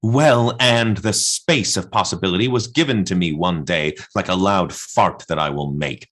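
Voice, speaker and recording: Radio play role: blasphemous madman poet, played by a man, low register, deliberate and emphatic declamation. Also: a bit bright. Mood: insistent, philosophical. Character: defiant, blasphemous madman poet raging against God. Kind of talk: theatrical radio play recitation.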